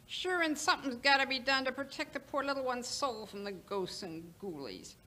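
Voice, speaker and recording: Woman playing feisty old Irish nanny, high-pitched. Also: animated, moderate pace, pushed and bright, heavily acted. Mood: fretful, insistent. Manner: scolding, folksy. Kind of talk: old-time radio drama acting. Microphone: stand microphone.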